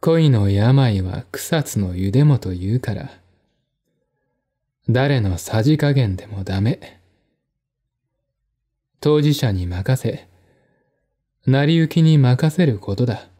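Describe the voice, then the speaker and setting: A man narrates a mystery novel in a literary, storytelling style. His voice is dark and relaxed, of middling pitch. He takes his time, with a measured and deliberate delivery. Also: slightly rough. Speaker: audiobook narrator.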